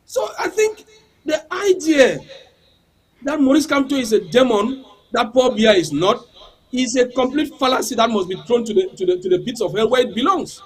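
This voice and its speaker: High-pitched guy arguing hard on a politics panel, english isn't his first language, keeps stumbling on repeated words. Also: lively intonation, normal speed. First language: not English. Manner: impassioned, rhetorical questions, repeats words. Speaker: panel guest. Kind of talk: heated political debate.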